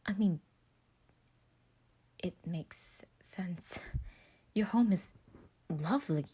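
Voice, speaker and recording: A woman's voice: on the low side, drawn-out words, mellow, breathy edge, exaggerated voice. A woman voicing a small, playful young man in a story, talking in a casual, marveling way. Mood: awed.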